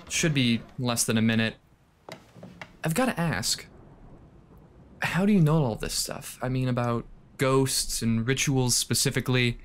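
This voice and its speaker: A man's voice: mid-range voice, slight background noise, lightly acted. An actor playing a young male radio host, off air and speaking in an earnest, thoughtful, slightly wry way. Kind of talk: audio drama acting.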